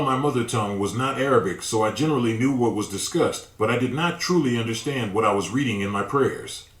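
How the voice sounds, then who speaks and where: Male narrator, mid-pitched, measured scripted delivery for a sermon-style reflection, echoey room. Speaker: religious narrator.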